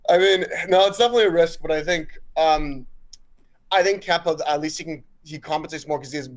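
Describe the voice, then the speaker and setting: A man's voice: a little high, a little fast. A man who grew up in Switzerland but is American, with a mixed international accent, calling in by video to chat eagerly about his football club. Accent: mixed American and Swiss international. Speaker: caller.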